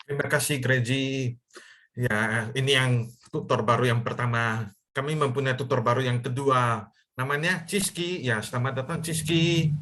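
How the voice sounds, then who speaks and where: A man's voice: mid-range voice, moderate pace, a bit bright. Young male tutor, video call, patient step-by-step explainer. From Medan, North Sumatra, Indonesia. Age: young adult. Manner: explains in simple steps, checks in with students. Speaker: online English tutor. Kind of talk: live virtual class teaching.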